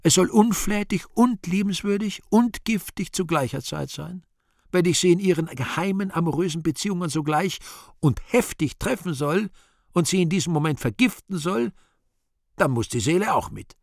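A sardonic devil in a radio play, speaking with a gravelly edge and wide swings of pitch. He is theatrically courteous and insinuating, selling his schemes like a sly merchant. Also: expressive, normal speed, no echo, clean studio sound. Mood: sly, insistent. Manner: theatrical, mock-polite, insinuating. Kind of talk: radio play acting, reading own stage directions.

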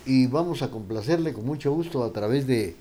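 A man hosting a radio music program, talking in an unhurried way with frequent hesitations and fillers. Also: medium pitch, lively intonation, background noise. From Guatemala. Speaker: radio host.

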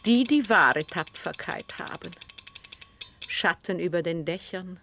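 Slow, musing woman, lilting and probing. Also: on the low side, lively intonation, faint music behind, full character voice. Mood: musing, dreamy. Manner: slow, deliberate, lyrical, gently insistent. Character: calm, musing, probing listener absorbed in knitting. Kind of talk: literary radio drama acting.

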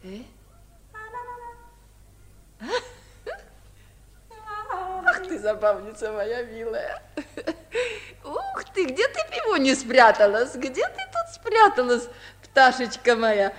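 A woman's voice: a little high, wildly swooping pitch, unhurried, background noise. An actress playing an old village grandmother who scolds, laments and dotes with fussy exclamations, in 1950s radio comedy style. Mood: fond scolding. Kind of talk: Soviet 1950s radio musical comedy acting. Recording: 1950s Soviet radio recording.

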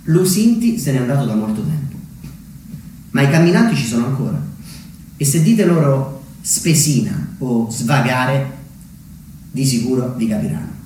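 A man's voice: mid-range voice, lively intonation, moderate pace, pauses often, darkish tone, a little hiss or hum. Echoey, distant male stage storyteller. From Umbria, Italy. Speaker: solo theatre storyteller. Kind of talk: theatrical monologue, narrated memoir. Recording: very echoey, distant mic, music underneath.

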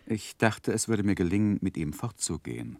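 An actor playing a reflective, wry gentleman who narrates his own youth in a literary radio play in German. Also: sits low, sing-song, normal speed, gravelly, dry recording, heavily acted. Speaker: first-person narrator.

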